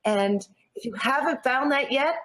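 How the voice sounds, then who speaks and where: High-pitched, earnest Canadian woman. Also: expressive, unhurried, a bit bright, low-quality recording. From born in Newfoundland, grew up in Nova Scotia, Canada. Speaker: interview guest, natural athlete. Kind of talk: motivational interview chat.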